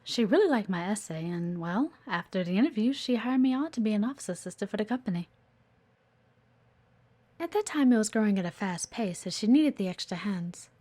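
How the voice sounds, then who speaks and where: A woman's voice: mid-range voice, expressive, fairly quick, a little mellow, natural voice. A woman playing a playful, teasing, observant executive assistant in an intimate one-sided audio roleplay. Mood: fond, reflective. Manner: coy, teasing, chatty, with murmured asides.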